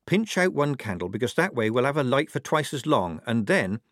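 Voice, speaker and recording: A steady young woman soldier with a firm, dry way of talking; a man acts her out. The voice sits close to the reader's own, close-miked and dry. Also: mid-range voice, normal speed, no room sound, voice pushed up.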